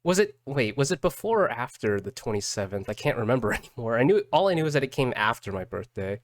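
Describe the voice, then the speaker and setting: A man's voice: mid-range voice, big pitch swings, no echo. Man thinking aloud in a dry, close recording. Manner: halting, thinking aloud, repeating words. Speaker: streamer reacting to game videos. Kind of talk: casual live reaction commentary.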